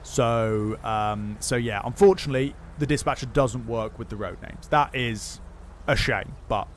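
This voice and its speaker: Man streaming a game, mid-pitched, rambles through viewer questions with a faint hiss underneath. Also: a little slow. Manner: rambling, many fillers and restarts. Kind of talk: casual livestream chat, answering viewer questions.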